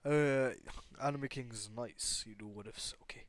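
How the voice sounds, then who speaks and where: A man telling improvised fan fiction in a casual, rambling, halting way, with some swearing as he goes. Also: mid-range voice. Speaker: storyteller and host of a fan what-if channel. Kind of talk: casual improvised retelling of anime fan fiction with acted dialogue.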